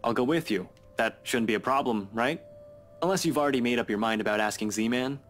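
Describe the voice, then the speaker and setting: A man's voice: medium pitch, fairly quick, fairly bright tone, slight background noise, close to an everyday voice. An actor playing a guarded, blunt young man with a terse, dry delivery in a visual novel game. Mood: decided.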